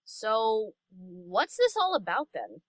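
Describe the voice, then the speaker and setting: A woman's voice: mid-range voice, wildly swooping pitch, slow, no room sound, heavily acted, poor audio. A woman voicing a brash, casual young female DJ pony in an audiobook, with an informal, slangy, blunt manner. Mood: curious. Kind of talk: audiobook character acting.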